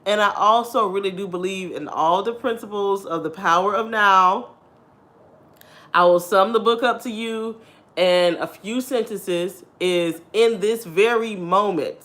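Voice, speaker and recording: Chatty husky-voiced woman in her thirties. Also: unhurried, a bit bright. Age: 30s. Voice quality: deep and husky. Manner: chatty and candid, laughs often, addresses viewers as "girl" and "y'all". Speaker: vlogger. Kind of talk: casual chat to viewers.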